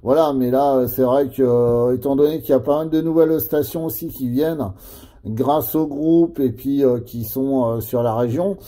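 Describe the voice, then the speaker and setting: A man of average pitch with a deep, dark, mellow tone. He chats over CB radio and explains things at length, hesitating often. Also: moderate pace. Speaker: CB radio operator. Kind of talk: casual radio chat.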